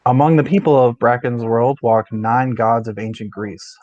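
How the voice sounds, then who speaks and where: A man's voice: mid-range voice, steady tone, normal speed, darkish tone, dry recording, dull audio. A man co-hosting a book discussion stream in a casual, informal, conversational way. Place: indoors, live stream.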